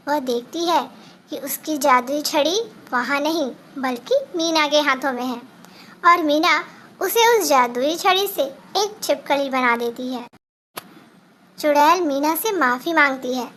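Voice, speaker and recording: A woman's voice: high voice, measured pace, sharp tone, a little hiss or hum. A woman reading a scripted fairy tale for children as a storyteller.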